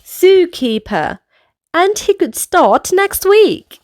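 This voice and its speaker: A high-voiced woman reads a storybook aloud to young children. She takes her time, with big melodic swings and direct questions and exclamations for the listeners. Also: big pitch swings, takes it slowly, a little mellow. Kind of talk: expressive read-aloud narration for children.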